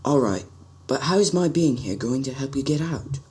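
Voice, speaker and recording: Guy playing young prince in a radio play, earnest and puzzled, lively up and down delivery. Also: mid-range voice, normal speed, a little hiss or hum, lightly acted. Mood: doubtful. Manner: earnest, questioning. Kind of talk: amateur radio drama acting.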